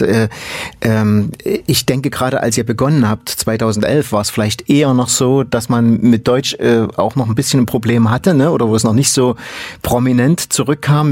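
A man's voice: medium pitch, darkish tone, dry recording. A man interviewing a band, chatty and affirming, talking in long, rambling sentences. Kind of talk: casual interview talk.

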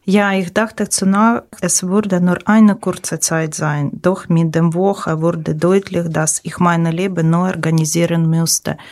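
Slow-speaking middle-aged woman, Ukrainian accent. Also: lowish voice, little pitch movement, darkish tone. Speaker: guest, former teacher. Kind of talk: personal recollection.

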